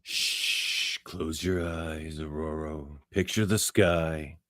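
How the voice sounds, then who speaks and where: A man playing a gruff, sardonic, impatient mutant fighter in a radio theatre read. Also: extremely low pitch, fairly even intonation, very slow, a bit bright, dry recording, studio quality, heavily acted. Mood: soothing. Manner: curt, sardonic.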